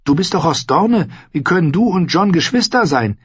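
A man's voice: sits high, sing-song, moderate pace, husky, dry recording, muffled recording, voice pushed up, exaggerated voice. A man voicing a feisty, suspicious young noble girl in an audiobook, blunt and challenging. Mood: curious. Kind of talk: audiobook character voice.

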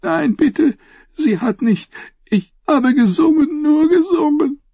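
A man's voice: extremely high pitch, expressive, normal speed, vocal fry, a little mellow, no echo, highs cut off, pitched above the performer's own voice, heavily acted. A man voicing a frightened young male singer in an audiobook, pleading tearfully as he protests. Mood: sobbing, pleading.

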